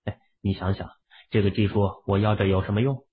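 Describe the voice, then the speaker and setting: A man's voice: fairly low pitch, steady tone, fairly quick, natural voice. A man playing a self-assured, teasing young husband with a casual, bantering delivery in an audiobook. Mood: matter-of-fact, smug. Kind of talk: acted audiobook dialogue. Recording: phone-like, band-limited audio.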